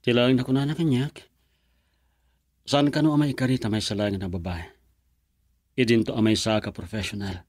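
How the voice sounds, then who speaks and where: A man's voice: medium pitch, fairly even intonation, moderate pace, pauses often, slight background noise, lightly acted. A man playing an earnest young lover, smitten with a club girl, in a radio drama. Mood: confiding.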